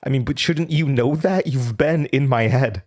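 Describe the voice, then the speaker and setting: A man's voice: medium pitch, moderate pace, a little mellow, natural voice. An actor in an audio drama playing a troubled, haunted young man, bitter and defensive, stammering and sarcastic. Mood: agitated, pleading.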